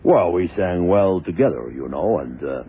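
An actor playing a proud, evasive opera singer under suspicion in a 1970s radio crime drama, hesitant and prickly. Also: male voice, low voice, expressive, moderate pace, husky, full character voice. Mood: uneasy. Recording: tinny, band-limited old radio recording.

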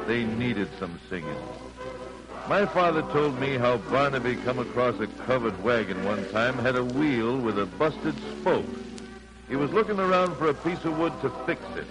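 A man's voice: medium pitch, lively intonation, normal speed, lightly acted, poor audio. A man playing an easygoing American frontier storyteller, narrating a 1940s radio musical in a rustic, homespun way. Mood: folksy, reminiscing. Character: easygoing folksy male frontier storyteller recalling his father's tales. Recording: vintage radio broadcast under loud music. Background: loud music.

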